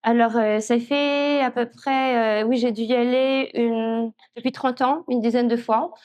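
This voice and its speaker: A woman, an author speaking reflectively at a public literary talk, with frequent pauses. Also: a little high, fairly even intonation, a little mellow, clear, resonant tone, dull audio. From Switzerland. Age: 50s. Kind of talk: conversational answers at a public talk. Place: festival conference room.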